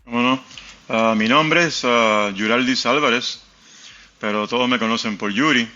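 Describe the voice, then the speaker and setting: A man's voice: medium pitch, normal speed, sharp tone, highs cut off, faint music behind. A Cuban man, a musician, telling his life story in a casual, hesitant way with frequent fillers. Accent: Cuban Spanish. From Cuba. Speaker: guest, musician.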